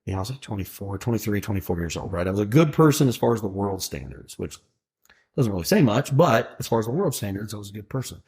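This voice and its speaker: Rambling low-voiced man in his forties. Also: expressive, quick pace, darkish tone. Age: 40s. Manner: rambling, many fillers and restarts. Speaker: guest, food truck owner. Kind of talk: casual interview talk.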